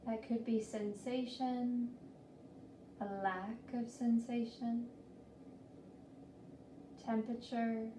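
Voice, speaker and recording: A woman leading a guided body scan meditation in a gentle, soothing, deliberate manner with long pauses. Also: on the high side, little pitch movement. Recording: roomy, distant mic with faint noise.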